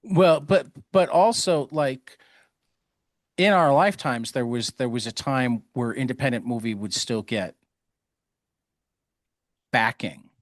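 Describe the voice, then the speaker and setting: A man's voice: medium pitch, slow, long pauses, dry recording, studio quality. A man hosting a podcast interview, asking questions in a chatty, curious, digressive way. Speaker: podcast host. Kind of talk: casual interview talk.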